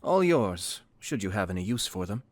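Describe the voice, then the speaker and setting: An actor playing a refined, composed, courteous gentleman in a video game, speaking politely and deliberately. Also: on the low side, very animated, no echo. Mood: polite, intrigued.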